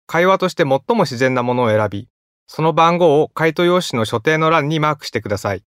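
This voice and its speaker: A man reading out formal listening-test instructions in a clear, even, scripted way. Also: medium pitch, no echo, studio quality. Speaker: exam announcer.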